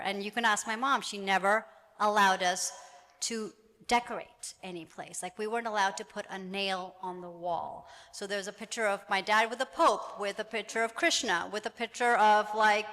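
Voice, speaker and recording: A woman's voice: mid-range voice, fairly bright tone. A woman panel guest and actor, talking casually and chattily, with many restarts and easy laughs. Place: event hall with audience. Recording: long hall reverb.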